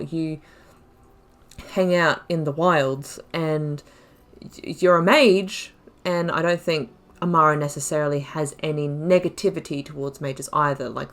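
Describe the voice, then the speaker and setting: A woman hosting a gaming video, giving casual, reflective playthrough commentary as she thinks aloud. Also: sits low. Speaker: gaming video host playing a role-playing game.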